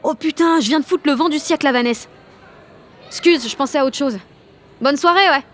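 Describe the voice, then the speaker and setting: A woman's voice: high voice, brisk, fairly bright tone. A woman playing a rebellious, sardonic sixteen-year-old schoolgirl who tells her story in blunt, swearing slang in an audio drama. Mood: defiant, agitated. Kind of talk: first-person audio drama narration in slangy speech.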